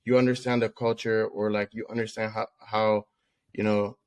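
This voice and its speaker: A man's voice: on the low side, normal speed, clean tone. A man from the Netherlands co-hosting a podcast, telling stories casually with slangy asides. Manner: animated storytelling with slangy asides. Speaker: podcast co-host.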